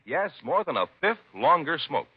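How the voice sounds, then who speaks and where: Vintage radio announcer, male, American: lowish, very animated intonation, emphatic scripted read, dulled old broadcast sound. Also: moderate pace, breathy edge, dry recording, lo-fi sound. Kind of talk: 1950s radio announcing, scripted narration and ad reading.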